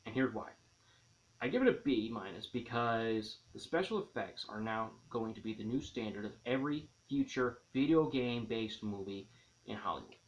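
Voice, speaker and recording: Casual man reviewing a movie, dull lo-fi audio. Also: mid-range voice. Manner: enthusiastic, rambling, repeats and rephrases himself.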